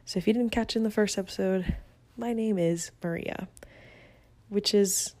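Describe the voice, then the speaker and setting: A woman's voice: medium pitch, moderate pace, darkish tone, dry recording. A young woman from Venezuela hosting her own podcast, talking casually and upbeat with a self-deprecating streak, recorded through a phone app. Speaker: podcast host. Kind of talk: casual solo podcast monologue. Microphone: phone app, no proper mic.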